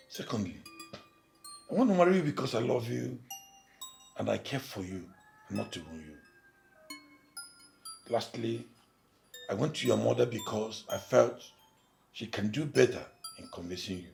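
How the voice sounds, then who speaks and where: Older nigerian chief, courtly suitor in a film drama, gravelly, animated and deliberate, music behind. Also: male voice, on the low side. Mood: earnest, persuasive. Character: courtly, persistent older village chief wooing a woman. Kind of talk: naturalistic film drama dialogue.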